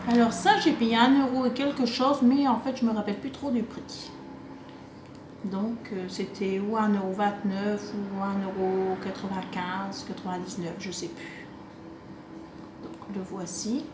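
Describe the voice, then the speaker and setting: A woman's voice: mid-range voice, level tone, a little slow. A woman chatting casually and unscripted to camera, hesitant and rambling as she presents a craft shopping haul, recorded on a phone on a table. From France, half Italian. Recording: roomy echo, clearly noisy home recording.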